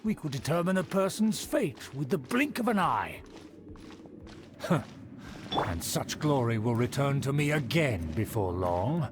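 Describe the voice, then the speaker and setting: A man's voice: medium pitch, sing-song, unhurried, background noise. An actor playing a pompous, condescending nobleman in a video game, a schemer who is easily offended. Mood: boastful.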